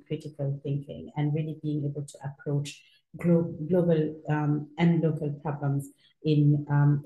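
A woman from Senegal answers interview questions in a low, very mellow voice. She explains things in a measured, steady way, pausing on fillers, and her voice echoes in the room. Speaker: university outreach director, guest.

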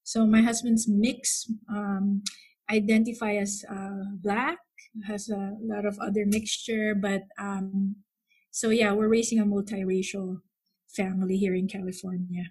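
Slow-speaking woman host. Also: medium pitch, flat delivery, darkish tone, rough recording. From Philippines. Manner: thoughtful, many pauses and ums. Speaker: podcast host; psychotherapist. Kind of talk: casual podcast chat.

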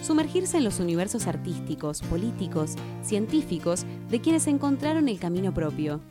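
A woman's voice: mid-range voice, sing-song, normal speed. A woman announcer reading a scripted radio show intro. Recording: voice over clear guitar music. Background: guitar music.

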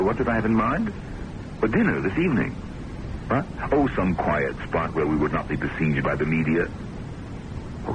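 A deep, wildly swooping voice for a pompous, womanizing academic boss. He speaks in grand, patronizing sentences like a polished radio-play ladies' man. The old broadcast recording is thick with noise. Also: heavily acted. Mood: genial, brisk. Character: suave, self-important, womanizing academic boss, a doctor. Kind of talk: old-time radio drama acting.

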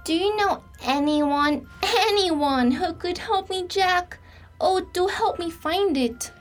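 A woman voicing a small, anxious male elf who pleads slowly and softly in a storybook reading. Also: soft background music. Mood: pleading. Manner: slow, high and pleading.

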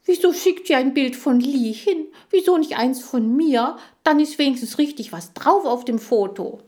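Audiobook hamster, played by a woman: plump, self-important male, huffy, high and loud. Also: lively intonation, normal speed. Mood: offended. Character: greedy, chubby male hamster Chan, easily offended.

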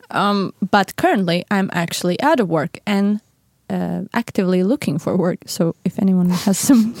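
A woman's voice: mid-range voice, a little mellow, dry recording. Chatty young woman, dry sound. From Latvia. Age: young adult. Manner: chatty, laughs often, restarts sentences. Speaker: podcast co-host. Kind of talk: casual podcast chat.

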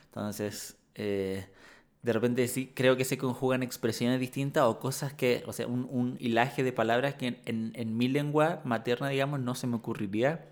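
A man speaking as a poet guest in a reflective conversation, thoughtful and halting with many restarts. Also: medium pitch, fairly even intonation.

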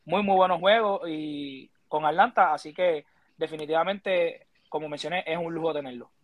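A man giving baseball analysis, measured and filling pauses with "este.". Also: on the high side, no echo. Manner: measured, filler "este" and "verdad". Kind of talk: casual sports talk.

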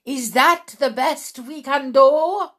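A woman's voice: high voice, pitched above the performer's own voice. A woman voicing a tyrannical, cruel grand witch leader in an audiobook, menacing and imperious, drawing out her words. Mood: outraged. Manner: imperious, drawn-out, menacing delivery.

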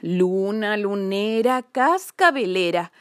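Storybook tomcat, female performer: pot-bellied, sleepy, grumpy, slow indignant drawl. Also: medium pitch, expressive, clean tone, no echo, studio quality. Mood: grumpy, indifferent. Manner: indignant, self-centred, drawling. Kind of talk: children's storybook character voice.

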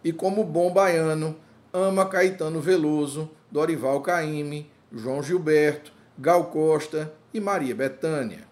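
Guy hosting a business interview, formal and polite, voice a bit high. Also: darkish tone. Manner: formal, measured, complimentary. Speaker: interview host.

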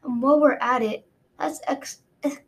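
A woman's voice: high-pitched, steady tone, gravelly. A woman voicing a haughty, cruel dragon queen who speaks with cheerful malice. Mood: gloating. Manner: cheerfully malicious, drawn-out, imperious. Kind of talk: audiobook character reading.

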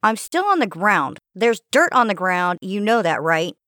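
A man's voice: sits high, very animated, unhurried, bright, forceful tone, no echo, studio quality, voice pushed up, heavily acted. A man voicing a spoiled, haughty female talking sword princess, nagging and indignant, in a fantasy audiobook. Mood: petulant complaining.

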